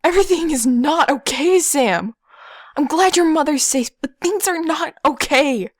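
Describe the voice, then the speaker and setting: A woman's voice: high-pitched, expressive, a little slow, no echo, clean studio sound. A woman playing an earnest young boy who presses his friend, in an audio drama. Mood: insistent.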